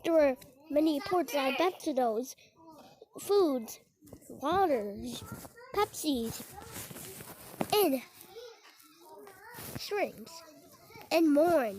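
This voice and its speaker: A very high, childlike voice delivers a mock emergency broadcast. The reading is slow and halting, with stumbles and garbled words. It is a homemade recording with clear noise and clicks. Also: darkish tone, poor audio. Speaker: amateur video creator. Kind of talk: mock emergency alert announcement.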